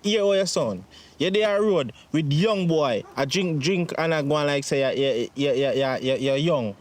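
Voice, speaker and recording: A man with a Jamaican accent playing a distraught grown son in a domestic drama, pleading and reproachful, with halting, repetitive speech. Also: fairly high pitch, expressive, lightly acted. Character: distraught grown son reproaching his mother over money. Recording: faint background noise.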